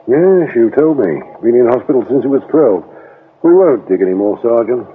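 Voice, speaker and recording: An actor playing a commanding, dryly sarcastic British police inspector in a radio drama, often thinking aloud. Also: male voice, medium pitch, expressive, normal speed, natural voice. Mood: probing, impatient. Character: brisk, sardonic male police inspector. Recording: tinny, band-limited old radio, faint noise.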